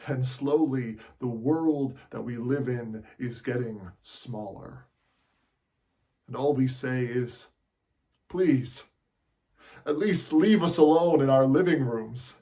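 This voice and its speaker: A man performs a fed-up male news anchor with a mid-pitched voice in a dramatic movie monologue. The delivery is urgent, impassioned and oratorical, addressed straight to the people. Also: slow, warm tone. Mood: pleading.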